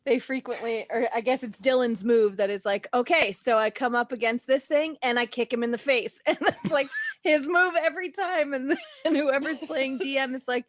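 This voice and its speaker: A woman's voice: sits high, normal speed, a little hiss or hum. A woman co-hosting a casual, playful panel chat, often giggling through her sentences. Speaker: podcast co-host.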